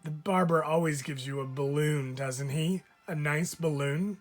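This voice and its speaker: A man's voice: a little high, a little slow, soft background music, lightly acted. A man reading a picture book aloud, voicing a fretful mother who keeps protesting. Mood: exasperated. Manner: exasperated, protesting. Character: fretful mother, adult woman.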